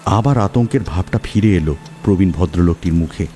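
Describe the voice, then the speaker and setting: A man's voice: deep voice, a little fast, warm tone, slight background noise. A man narrating a scripted horror story dramatically in the first person. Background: music, rain.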